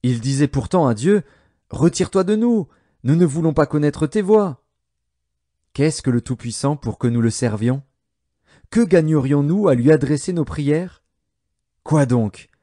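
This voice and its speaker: A man narrating an audio Bible in a solemn, measured way, enunciating each phrase with care. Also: a little high, lively intonation, warm tone. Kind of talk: scripted scripture narration.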